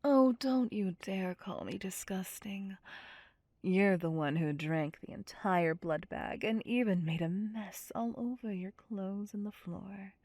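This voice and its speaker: A woman playing a possessive, cocky vampire captor in an ASMR roleplay, with a breathy, husky, teasing and domineering whisper. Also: medium pitch, a little mellow, no echo, clean studio sound. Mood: teasing, smug. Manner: slow, teasing, seductive, domineering. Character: possessive, cocky, sadistic female vampire captor, playfully menacing. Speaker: voice actor performing audio roleplay.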